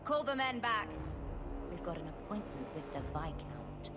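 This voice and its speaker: A woman's voice: fairly low pitch, very animated, moderate pace, over loud music. A woman playing a brash, callous mercenary leader in video game dialogue. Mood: gloating.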